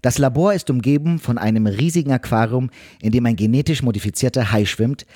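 A man of medium pitch reads a scripted retelling of a film. His delivery is wry and tongue-in-cheek, recorded with no room echo. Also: a little fast, mellow, studio quality. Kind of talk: scripted film recap narration.

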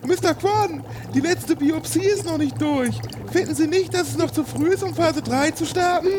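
Anxious young woman scientist, done by a man, very high falsetto, cautious and doubting, film-dub parody. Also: moderate pace, background noise. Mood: worried. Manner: anxious questioning.

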